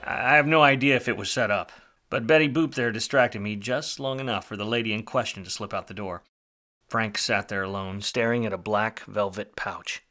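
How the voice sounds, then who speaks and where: An actor playing a weary, wisecracking 1940s private detective in a film noir radio play, with a wry, slangy manner. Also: male voice, fairly low pitch, normal speed, pushed and bright, a faint crowd. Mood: brooding. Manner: wry, hard-boiled, slangy.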